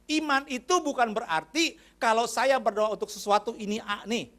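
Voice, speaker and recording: A man's voice: very high voice, moderate pace, pushed and bright. A man preaching a sermon in a church. He talks to the congregation in a conversational way, addresses them often and asks them questions. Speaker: pastor.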